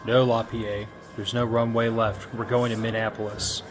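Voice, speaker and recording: A man's voice: on the low side, level tone, normal speed, natural voice. A man playing a dutiful military leader in an audio drama, brief and businesslike. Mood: firm, commanding. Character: dutiful, firm military man leading his men. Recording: loud music over the voice.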